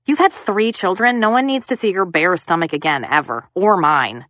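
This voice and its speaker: A woman's voice: lowish voice, moderate pace, fairly bright tone, no echo, lightly acted. A woman voicing a heavily pregnant, bossy woman who talks bluntly and sharply.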